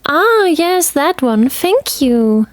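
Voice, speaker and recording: A woman's voice: sits high, sing-song, extremely slow, no room sound, voice pushed up, heavily acted. A woman voicing a playful, fearless young girl who teases her friend in a storybook audio play. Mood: cheerful. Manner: exuberant, teasing.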